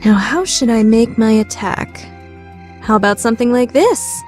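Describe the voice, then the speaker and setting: A woman's voice: fairly high pitch, animated, normal speed, a little mellow, soft background music, natural voice. A woman playing a proud, scheming young lady student in an anime-style radio play, theatrical and easily flustered. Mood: haughty, scheming. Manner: theatrical, shifting between haughty plotting and flustered outbursts.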